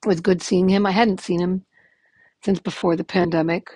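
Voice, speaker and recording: A woman in her fifties speaks in a rough, gravelly, hoarse voice that sits a little low. She rambles candidly through a casual podcast update, dotted with ums. The sound is dry and close. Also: steady tone, moderate pace, mellow, no echo. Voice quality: raspy, gravelly voice. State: hoarse from illness. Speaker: podcast host.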